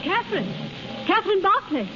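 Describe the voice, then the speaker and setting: An actress playing a sharp-tongued, protective female friend, brusque and indignant, in a 1930s radio drama. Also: very high voice, big pitch swings, moderate pace. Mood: startled. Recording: dull, noisy 1930s radio recording.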